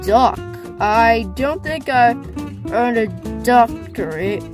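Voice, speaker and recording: Woman playing a high-voiced, innocent, forgetful inventor. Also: lively intonation, slow pace, music in the background. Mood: cheerful, puzzled. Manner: polite, puzzled. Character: friendly, forgetful male inventor, innocent and eager. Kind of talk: amateur comic dub.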